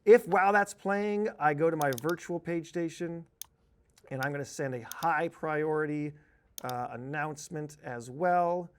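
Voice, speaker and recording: Guy, kinda high voice, walking thru software like a training video, informal and explainy. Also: measured pace. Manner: explanatory, informal, uses spoken examples. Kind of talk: conversational screen-share tutorial.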